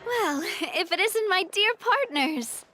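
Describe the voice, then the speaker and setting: A spirited young lady president in an anime-style game. Her voice is high, cheerful and polished, with an earnest, dutiful manner. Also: animated, slow, music in the background, full character voice. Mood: delighted.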